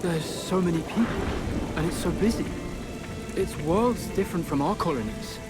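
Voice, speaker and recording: A man's voice: high-pitched, expressive, normal speed, loud music behind the voice. A man playing a curious, eager, slightly unsure youngster in a video game cutscene.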